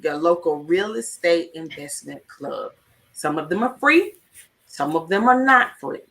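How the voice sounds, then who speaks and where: A woman's voice: fairly low pitch, animated, unhurried, a bit bright, far from the mic. A woman hosting a live talk show, giving emphatic numbered tips in a teaching tone. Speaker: host. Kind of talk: motivational live-stream talk.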